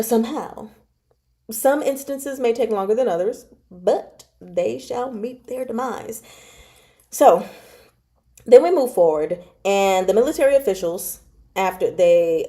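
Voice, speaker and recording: A woman chatting casually as she retells a story, in an animated, giggly, slangy way that often wanders off track. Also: on the high side. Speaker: book club vlogger. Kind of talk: casual chat retelling a novel.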